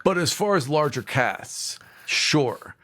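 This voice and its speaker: A man's voice: a little high, expressive, sharp tone. Agreeable man co-hosting casually. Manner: agreeable, quick to affirm and laugh. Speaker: podcast co-host. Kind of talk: casual podcast chat.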